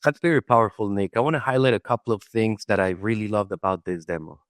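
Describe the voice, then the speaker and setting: A man's voice: on the low side, fast, dry recording, clean studio sound. A man hosting an interview podcast, speaking conversationally and methodically as he recaps things point by point. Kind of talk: conversational summarizing review.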